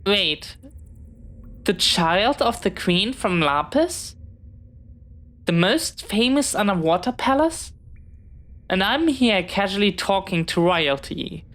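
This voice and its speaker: A woman's voice: mid-range voice, big pitch swings, takes it slowly, long pauses, fairly bright tone. A woman playing a friendly, bookish, talkative young pirate in an audio roleplay, speaking in a polite, hesitant, earnest way. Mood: surprised, relieved. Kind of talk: intimate scripted audio roleplay.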